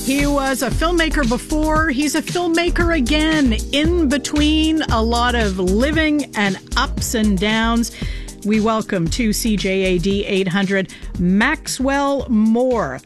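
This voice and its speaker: Woman radio host, fairly high voice, dry booth, soft music beneath. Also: takes it slowly. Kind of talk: radio interview.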